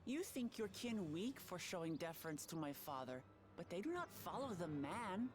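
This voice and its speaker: A woman voicing an earnest, firm young claimant to a throne in a game, speaking in measured, declarative lines over music. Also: on the low side, sing-song. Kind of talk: voicing game cutscene dialogue.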